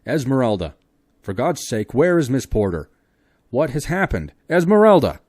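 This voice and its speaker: A man voicing an urgent young gentleman shouting commands during a search. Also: on the low side, steady tone, normal speed, no echo, studio quality, pitched above the performer's own voice, natural voice.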